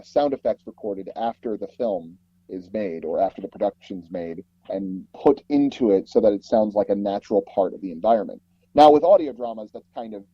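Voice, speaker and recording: A man with a fairly low voice chats casually as an interview guest. He rambles through his stories, restarting phrases as he goes. The sound is very dry, close and a little dull, as from a foam-padded closet booth. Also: slightly rough, no room sound. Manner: rambling, animated storytelling with frequent "like" and repeated false starts. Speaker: interview guest, sound designer and audio drama producer.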